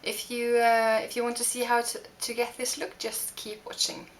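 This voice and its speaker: A young woman from Norway with a Norwegian accent, talking through a makeup tutorial with many pauses and restarts. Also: fairly high pitch, monotone. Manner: hesitant, many fillers and restarts. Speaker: beauty vlogger. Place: home room.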